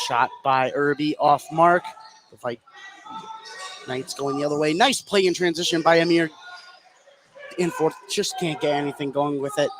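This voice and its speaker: A man doing live play-by-play basketball commentary for radio, fluently narrating the action from inside a gymnasium. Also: fairly high pitch. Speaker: play-by-play announcer. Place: indoor high school gymnasium. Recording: noisy gym ambience behind commentary. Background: gym noise.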